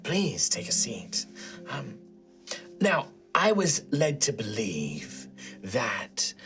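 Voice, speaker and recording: A game master voicing a frail, weary older nun inquisitor who speaks in a hushed, formal whisper. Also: medium pitch, huge pitch swings, soft background music. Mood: probing. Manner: formal, slow, measured.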